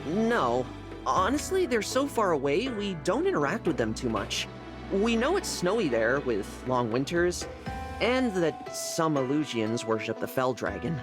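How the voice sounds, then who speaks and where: A man's voice: a little high, very animated, a little slow, over music. A man playing a friendly, kindhearted young prince in a video game, chatty, polite and upbeat in manner. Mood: earnest. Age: young adult. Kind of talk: scripted video game voice acting.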